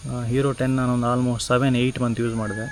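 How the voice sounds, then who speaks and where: A man's voice: medium pitch, little pitch movement, brisk, a little hiss or hum. A man walks casually through his gear in a rambling, self-correcting, conversational way. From India. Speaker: motovlogger.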